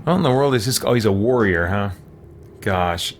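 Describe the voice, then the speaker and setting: A man's voice: on the low side, expressive, normal speed, slight background noise. A man hosting a let's play, giving casual commentary and thinking aloud as he plays. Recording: faint game ambience behind voice. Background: faint game ambience.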